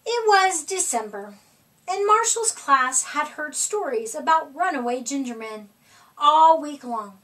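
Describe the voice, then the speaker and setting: A woman reads a children's story a little away from the microphone. Her voice is high and swings widely in pitch, with theatrical, deliberate phrasing. She sounds middle-aged. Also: expressive, a bit bright, recorded from a distance, rough recording. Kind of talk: expressive read-aloud of a children's picture book. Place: small indoor room with decorated wall.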